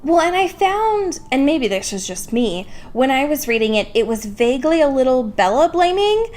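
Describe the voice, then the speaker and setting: High-voiced young woman, chatty co-host. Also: big pitch swings, normal speed, a little mellow. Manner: informal, self-deprecating, wry, swears casually. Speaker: podcast co-host. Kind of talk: casual chatty book discussion.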